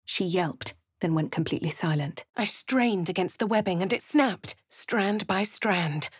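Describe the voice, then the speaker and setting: A woman voicing a refined, posh young adventurer with a British accent, speaking crisp, clipped game lines and narration. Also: fairly low pitch, a little slow, dry recording, studio quality. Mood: composed. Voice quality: crisp, well-spoken. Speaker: player character.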